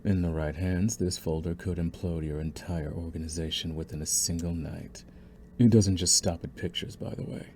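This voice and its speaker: A low, deep male voice of an actor plays a young mafia boss in an audio roleplay. He is smug and possessive, taunting the listener with theatrical, condescending menace. Some faint noise sits behind the voice. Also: fairly even intonation, normal speed, a little mellow. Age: young adult. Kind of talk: scripted ASMR audio roleplay.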